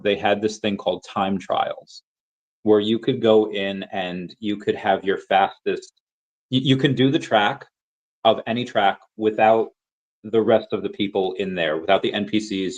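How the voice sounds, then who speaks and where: A man's voice: fairly low pitch, moderate pace, low-quality recording. A man hosting a podcast in casual chat, telling long, rambling stories in a loose, conversational way. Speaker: podcast host.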